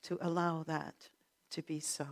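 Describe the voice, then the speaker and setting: A woman leading a spoken prayer at a church service, calm and unhurried. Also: low voice. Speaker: prayer leader.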